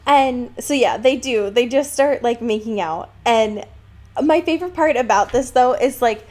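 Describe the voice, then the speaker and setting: A woman with a fairly high voice co-hosts a casual podcast about a book. She retells scenes in an amused, conversational way, often dropping into quoted dialogue and padding it with small filler words. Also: normal speed, a little mellow. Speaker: podcast co-host. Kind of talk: casual book discussion chat.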